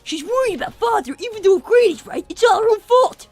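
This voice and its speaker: A woman's voice: very high voice, expressive, fast, darkish tone, soft background music. A woman voicing a bratty, blunt five-year-old cartoon boy who is demanding and melodramatic, in an improvised comedy livestream. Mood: matter-of-fact. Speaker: livestream co-host in character.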